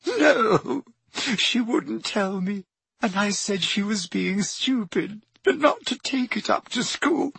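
A man's voice: lively intonation, slow, gravelly, fairly bright tone, husky, no room sound, heavily acted. A man doing a sobbing, frantic teenage schoolgirl. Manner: high, wailing and breathless. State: crying. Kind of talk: audiobook character voice.

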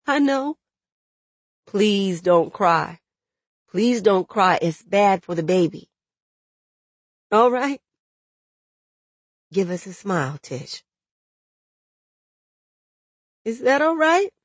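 A woman's voice: medium pitch, sing-song, slow pace, pauses often, no echo. A woman voicing a desperate young man in jail in an audiobook, angry and tender by turns, with urgent, streetwise phrasing. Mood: tender, apologetic. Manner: urgent, profane, vernacular phrasing. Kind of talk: dramatic audiobook character dialogue.

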